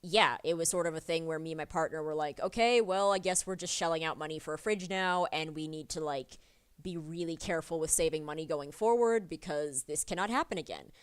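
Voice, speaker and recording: A woman's voice: low voice, brisk, clear, resonant tone. A woman streaming her drawing session, chatting casually and rambling with many fillers, a little sniffly from a cold. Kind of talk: casual chat while drawing.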